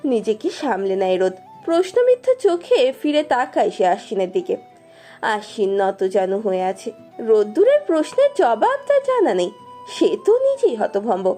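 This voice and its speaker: A woman's voice: on the high side, big pitch swings, normal speed. A woman narrating a romance story expressively from a script. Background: soft music.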